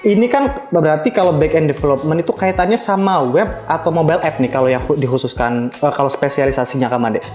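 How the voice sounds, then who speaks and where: A man's voice: a little high, fast, hardly any pauses, a little mellow. A man hosting a casual, chatty interview, mixing in English loanwords. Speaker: podcast host. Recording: roomy echo, faint music behind.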